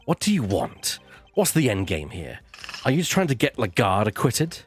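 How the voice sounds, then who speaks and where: A man voicing a stern, sceptical investigator in a video game read-through, with a measured, challenging manner. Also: on the low side, wildly swooping pitch, soft background music. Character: stern, sceptical male investigator confronting a villain. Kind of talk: live video game voice-over.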